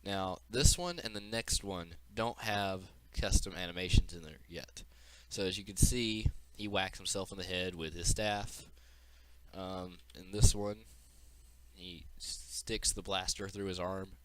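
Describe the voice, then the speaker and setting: Guy with a lowish voice walking thru his game demo, unscripted, explaining stuff, you can hear him breathing. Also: unhurried, a bit bright, a little hiss or hum, low-quality recording. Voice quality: audible breathing into the mic. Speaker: game developer presenting a demo. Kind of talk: informal screen-capture demo walkthrough.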